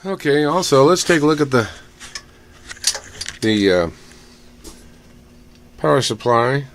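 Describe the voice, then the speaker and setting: A man giving casual, off-the-cuff hands-on commentary in a halting way, as if muttering to himself while he inspects things. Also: medium pitch, animated. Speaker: hobbyist vlogger showing old computer hardware. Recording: clear background noise with room ambience.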